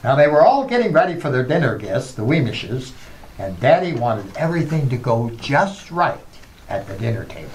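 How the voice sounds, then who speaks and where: A man's voice: mid-range voice, sing-song, normal speed, a little hiss or hum, rough recording. A man announcing and narrating an old-time radio comedy show from a script.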